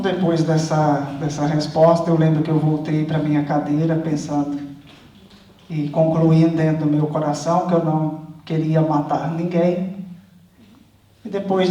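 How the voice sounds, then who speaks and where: Brazilian priest in a very echoey church. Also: male voice, on the high side, warm tone. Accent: Brazilian Portuguese, from Goiás. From Goiás, Brazil. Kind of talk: personal testimony to a congregation. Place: large reverberant church. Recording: very echoey church, mic at a distance.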